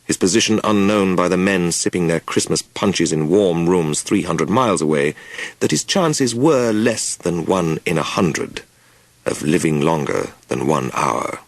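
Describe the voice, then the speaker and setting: A man reading a first-person story as an audiobook narrator, with measured, deliberate phrasing and dramatic pauses. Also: low voice, lively intonation, vocal fry, no echo.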